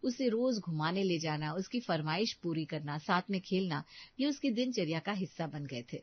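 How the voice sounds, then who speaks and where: A woman's voice: fairly low pitch, expressive, moderate pace, dull audio. A woman storyteller giving a scripted, dramatic narration of a family tale.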